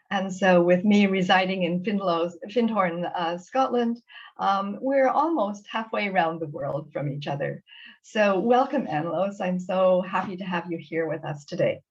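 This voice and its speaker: A woman hosts an interview over a video call, her voice sitting on the low side and sounding keen and earnest. She sometimes stumbles and restarts as she talks. The call audio is muffled and lo-fi. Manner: enthusiastic, with frequent restarts and fillers. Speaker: interview host. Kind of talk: warm conversational interview.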